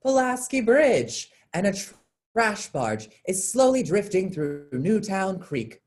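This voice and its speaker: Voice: mid-range voice, big pitch swings, slow, a little mellow. A narrator reading scripted stage directions for a staged play reading.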